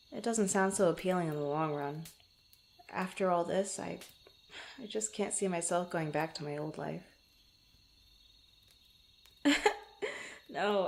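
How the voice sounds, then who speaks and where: Woman acting a hesitant, creaky-voiced young adventurer. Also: on the low side. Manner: halting, stammering, soft-spoken with breathy asides. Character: flustered young female adventurer, tender but teasing and proud. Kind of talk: intimate ASMR roleplay voice acting.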